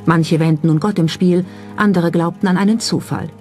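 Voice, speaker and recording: Documentary narrator, mid-pitched and relaxed, scripted delivery, light music bed. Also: normal speed. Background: background music.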